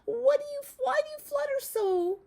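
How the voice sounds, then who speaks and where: Woman voicing an eager high-pitched little lamb. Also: lively intonation, normal speed, creaky, dark tone, exaggerated voice. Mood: pleading. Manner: pleading, earnest questioning. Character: young female lamb, eager, clingy, wistful.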